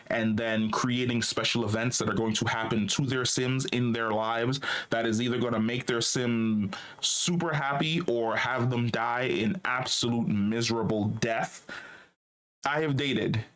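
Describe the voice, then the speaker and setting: A man's voice: medium pitch, a bit bright. A man hosting a gaming news show, talking loosely and chattily, swearing freely and repeating words while he gathers his thoughts. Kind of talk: casual gaming news commentary.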